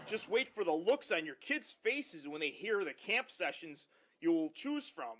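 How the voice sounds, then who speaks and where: A man as a high-pitched, lively spoof pitchman. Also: measured pace. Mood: mock cheerful. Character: cheery pitchman for a miserable summer camp. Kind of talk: spoof advert narration.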